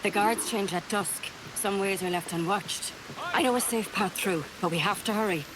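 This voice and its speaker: A woman's voice: medium pitch, moderate pace, lightly acted. A woman acting a seasoned, loyal pirate shipmate in a video game, talking in a frank, matter-of-fact way. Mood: confiding. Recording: clear ambient noise behind the voice.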